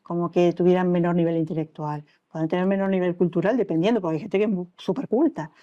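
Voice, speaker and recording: Uruguayan woman, middle-aged, anesthesiologist guest; quick, warm delivery, acts out quotes; dull podcast sound. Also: on the low side. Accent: Uruguayan Spanish. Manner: animated storytelling, acts out quoted dialogue. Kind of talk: conversational interview.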